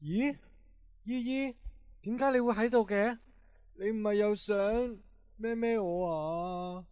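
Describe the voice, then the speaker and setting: A man's voice: high voice, slow pace, a little mellow, dry recording. A man acting a timid, submissive guard who is eager to please, in an amateur radio drama. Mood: eager, surprised.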